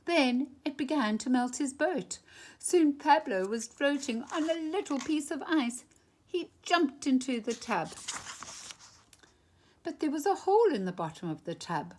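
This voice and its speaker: Female storytime reader, slow and very lively in pitch, dramatic emphasis for young listeners. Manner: animated, dramatic emphasis with drawn-out phrases and hushed moments. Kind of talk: expressive children's picture-book read-aloud.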